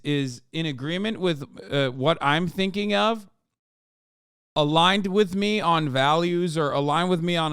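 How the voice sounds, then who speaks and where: A man with a fairly high, smooth voice reacts live to news, swearing freely and laughing at himself. The sound is dry and close. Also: no echo. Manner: blunt, profane, animated and self-mocking. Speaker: streamer.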